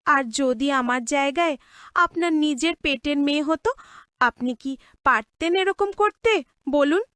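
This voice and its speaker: Woman playing a very high-pitched, plaintive young housemaid. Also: moderate pace, a bit bright, no room sound, studio quality. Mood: tearful pleading. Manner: plaintive, beseeching, respectful. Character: put-upon young orphan housemaid pleading with aunt. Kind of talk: cartoon drama voice acting.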